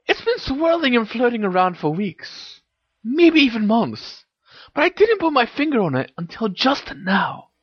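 A teasing, bossy teenage girl witch as a story reader acts her out, pitched high and very animated. She speaks in emphatic bursts, like an excitable best friend in a storybook. The sound is close and dry. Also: normal speed, no room sound, dull audio, heavily acted. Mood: taunting.

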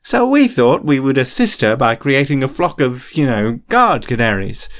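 A man voicing cheeky, quick-witted schoolboy pranksters in a story, with a glib, cheerful, easygoing manner. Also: medium pitch, big pitch swings, fairly bright tone, voice pushed up.

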